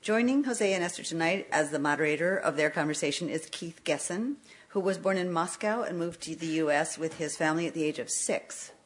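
A female event presenter reads prepared introductions to an audience. Her voice sits a little low, and her delivery is formal and measured. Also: slight background noise. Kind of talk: scripted introduction read to an audience.

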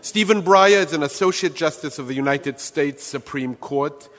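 A man hosting a library event, reading a formal introduction, then ad-libbing haltingly. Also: mid-range voice, big-room sound. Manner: halting and self-deprecating when ad-libbing. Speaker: host. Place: large public library event hall.

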